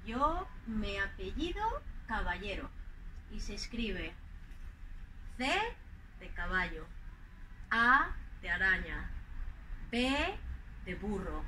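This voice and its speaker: An older woman from Madrid with a Madrid Spanish accent, acting out a slow, clearly spoken teaching dialogue outdoors on a clip-on mic. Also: medium pitch, big pitch swings, sharp tone, slight background noise, rough recording. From Spain. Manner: playful, deliberate spelling out of words. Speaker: language teacher in a scripted dialogue. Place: outdoors in front of a green wall.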